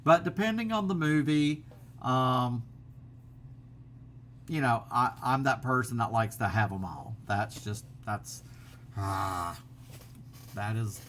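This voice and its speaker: A man's voice: medium pitch, slow, pauses often, fairly bright tone, a little hiss or hum. A middle-aged man, chatting casually to his camera at home, rambling through fillers and restarts. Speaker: vlogger. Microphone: built-in laptop camera mic.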